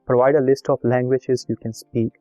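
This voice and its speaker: A man whose first language is Urdu, calmly walking viewers through an online form step by step and reading each field aloud. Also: mid-range voice, normal speed, no echo, faint music behind. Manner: plain instructive, reads form fields aloud, repetitive. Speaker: video tutorial host. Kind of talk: screen-recorded step-by-step walkthrough.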